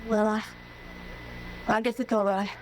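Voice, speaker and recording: A woman's voice: mid-range voice, flat delivery, a little slow, lightly acted, low-quality recording. An actress playing a shy, wary young ranch girl in a vintage radio drama, speaking hesitantly. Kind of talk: 1950s radio drama acting. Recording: hissy old radio broadcast.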